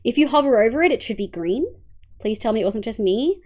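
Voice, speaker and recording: A young Australian woman with a congested, nasal voice, chatting casually to her stream audience. Her voice sits high and swings widely in pitch as she explains things and hums to herself. She talks in a chatty, easygoing way. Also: expressive, fast talker, a little mellow. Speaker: livestreamer. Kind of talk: casual livestream chat and walkthrough.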